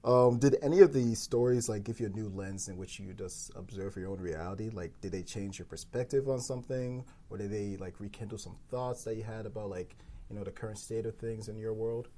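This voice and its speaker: A man's voice: on the low side, a little fast, dark tone, a little hiss or hum. A man hosting a podcast, talking casually in a round-table discussion. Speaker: podcast host.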